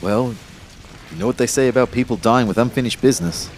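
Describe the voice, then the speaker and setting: An actor playing a bewildered male ghost, narrating his afterlife in a brooding, measured way for a scripted audio drama. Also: medium pitch, slight background noise, close to an everyday voice. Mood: bewildered, urgent.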